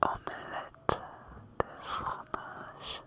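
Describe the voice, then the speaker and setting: A man's voice: drawn-out words, pushed and bright. A man streamer whispering playfully close to the mic, answering viewer requests. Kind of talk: whispered ASMR chat with viewers.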